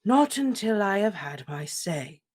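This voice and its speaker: A reader voicing an old male headmaster wizard, speaking in a calm, measured, gentle way. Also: medium pitch, pitched above the performer's own voice. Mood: serene. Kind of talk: audiobook character reading.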